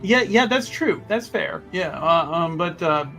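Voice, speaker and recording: A man's voice: high voice, animated, unhurried, vocal fry, fairly bright tone, soft background music. A man playing a tabletop game who rambles, agrees readily and laughs often. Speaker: player. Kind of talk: casual tabletop chat.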